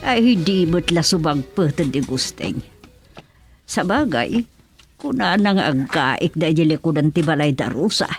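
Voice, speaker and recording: A woman's voice: deep voice, lively intonation, measured pace, soft background music. An actress playing a practical, mildly exasperated village mother in a radio serial, with a Filipino accent and homely, exclamatory speech. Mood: matter-of-fact.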